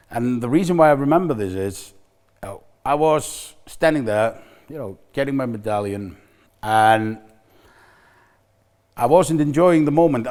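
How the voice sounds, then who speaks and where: Icelandic man speaking candidly. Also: medium pitch. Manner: candid, reflective, with frequent restarts and fillers. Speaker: recovery center staff member. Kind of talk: personal talk to camera.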